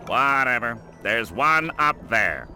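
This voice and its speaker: A man voicing a sour, bored octopus in a cartoon game, nasal and sarcastically deadpan. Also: medium pitch, takes it slowly, soft background music, full character voice. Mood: sarcastic. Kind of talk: cartoon game character acting.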